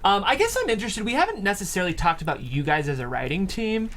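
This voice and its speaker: A woman's voice: mid-range voice, sing-song, quick pace. A woman hosting a casual interview, hedging her questions with plenty of "like". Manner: hedging, peppered with "like". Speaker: show host and interviewer.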